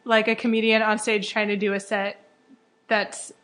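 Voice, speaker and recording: A woman co-hosting a casual podcast, upbeat and quick to laugh as she pitches ideas. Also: flat delivery, a bit bright. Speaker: co-host.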